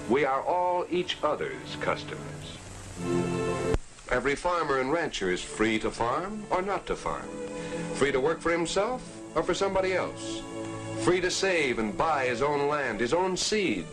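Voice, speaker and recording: Earnest 1940s film narrator, man, lively pitch. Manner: earnest, declamatory. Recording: old film soundtrack with music.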